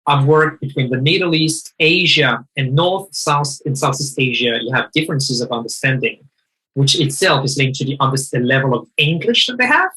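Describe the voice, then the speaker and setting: Bright-voiced, husky man. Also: mid-range voice, moderate pace, low-quality recording. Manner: animated, emphatic, rephrases mid-sentence. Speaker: guest speaker. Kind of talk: conversational discussion.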